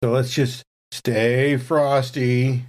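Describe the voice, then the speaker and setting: Man playing nervous soldier with a rifle, tabletop game, stammering, repeated words, forced calm. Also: mid-range voice, drawn-out words. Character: nervous male rifleman trying to stay calm.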